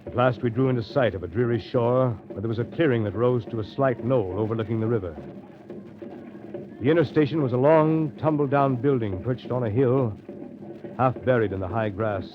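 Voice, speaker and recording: On a muffled, noisy 1930s radio recording, an actor plays a weary steamboat captain. He is a man of middling pitch with a dry, sardonic edge and a brooding, literary way of narrating. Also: normal speed, close to an everyday voice. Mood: brooding narration. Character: weary, sardonic male steamboat captain telling his tale.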